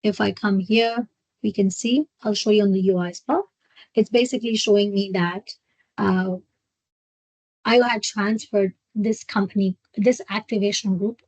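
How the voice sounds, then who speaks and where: Woman software trainer, dry close sound. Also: medium pitch, fairly even intonation, slightly rough, dry recording, low-quality recording. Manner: halting, step-by-step explaining with check-in questions. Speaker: software trainer hosting a webinar. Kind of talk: live screen-share product walkthrough.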